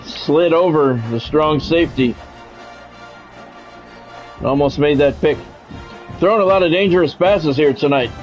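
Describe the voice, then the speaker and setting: Male game streamer, slightly high-set voice, football play-by-play with casual grumbling asides, faint music underneath. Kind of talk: sports play-by-play commentary mixed with casual stream chat.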